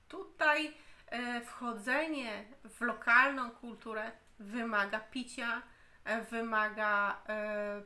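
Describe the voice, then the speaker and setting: Slow, hesitant woman reviewing a book. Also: on the high side, bright, forceful tone. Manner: slow and deliberate, with frequent hesitations and restarts. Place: home room, indoors.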